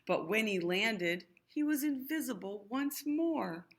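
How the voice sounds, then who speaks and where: A woman's voice: on the high side, animated, takes it slowly. A woman reading a picture book aloud to young children, expressively, with explanatory asides. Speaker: storybook reader.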